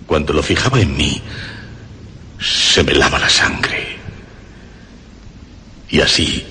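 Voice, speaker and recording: An actor playing a nervous, obsessive murderer who insists he is sane, in an agitated, emphatic radio horror monologue with halting repetitions. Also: deep voice, pushed and bright, exaggerated voice. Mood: obsessive, dread. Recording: long reverb, faint background noise.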